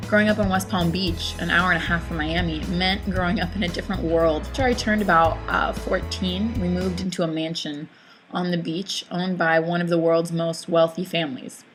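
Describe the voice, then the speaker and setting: A woman's voice: on the low side, fairly even intonation, moderate pace, music in the background. A young woman whose first language is not English, narrating a reflective personal essay, thoughtful, with hesitant fillers and restarts.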